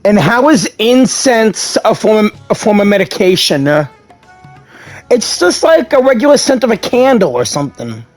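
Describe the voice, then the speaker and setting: A woman's voice: mid-range voice, very animated, moderate pace, darkish tone. A woman hosting a casual game playthrough, talking in an informal, offhand way as she reads along and comments. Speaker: gaming video host. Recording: faint game music behind.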